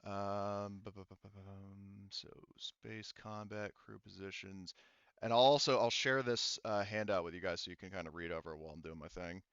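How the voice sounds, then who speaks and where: A man's voice: fairly low pitch, little pitch movement, moderate pace, fairly bright tone, clean tone, no echo, lo-fi sound. A man acting as game master, casually narrating a tabletop game and muttering to himself as he checks rules and counts.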